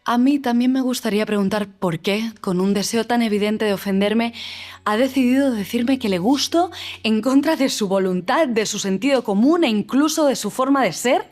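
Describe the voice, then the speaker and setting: An actress playing a proud, sharp-tongued young woman who turns down a suitor, speaking in a measured, indignant way, with soft music under her. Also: fairly high pitch, close to an everyday voice. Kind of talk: audio drama acting.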